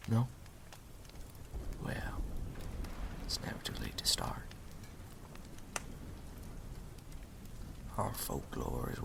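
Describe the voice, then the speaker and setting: Man playing a frontier drifter, very low gravelly voice, breathy half-whisper, folksy storyteller, ASMR roleplay, clearly audible ambient noise. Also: heavily acted. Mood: eerie, hushed. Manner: folksy, drawling, hospitable storyteller. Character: folksy wandering story collector in old frontier country.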